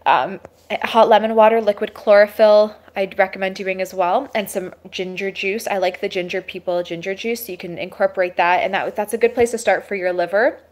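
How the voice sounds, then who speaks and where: A woman with a sore, tiring throat answers viewer questions on a livestream, speaking at a middle pitch. She gives casual health advice and scatters fillers and restarts through her answers. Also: steady tone, fairly quick, darkish tone. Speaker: holistic health host. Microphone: webcam or phone livestream.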